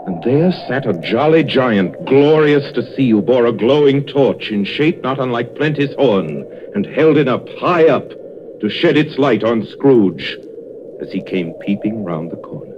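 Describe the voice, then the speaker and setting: A man narrating a story in a measured, theatrical 1930s radio style. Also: mid-range voice, expressive, noisy background. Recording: dull 1930s radio broadcast with music.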